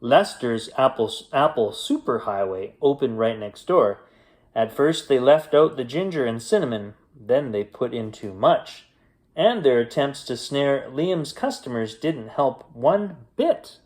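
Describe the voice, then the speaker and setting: A man with a mid-pitched voice reads a children's story aloud. His pace is slow and unhurried, and he uses deliberate pauses for drama in an expressive bedtime-story style. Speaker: storyteller reading a children's picture book.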